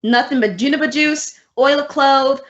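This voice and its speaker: Wry, practical lady teacher for radio comedy, woman actor, voice on the high side, muffled recording. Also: normal speed, fairly bright tone. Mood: helpful. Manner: dry, wry. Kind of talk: old-time radio comedy acting with narration. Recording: dull lo-fi radio drama audio.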